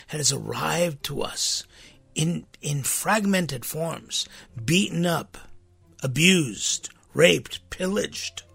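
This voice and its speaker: Raspy, earnest male priest. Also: a little high, a bit bright, no room sound. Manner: earnest, conversational, with restarts and sudden emphatic rises. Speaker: priest and podcast host. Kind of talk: informal spiritual talk. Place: studio.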